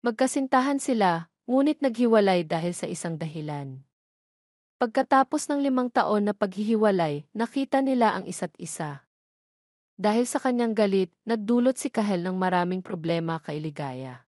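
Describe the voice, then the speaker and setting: A woman with a Filipino accent reads a scripted story recap as narrator, phrase by phrase in a measured way. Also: medium pitch, lively intonation, a little mellow, no echo, clean studio sound.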